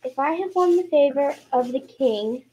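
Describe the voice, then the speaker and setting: A woman's voice: high voice, animated, dark tone, dull audio, slight background noise. A woman playing a pleading queen in an amateur radio play, stumbling as she reads her script. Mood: hesitant pleading. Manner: halting, stumbling script reading.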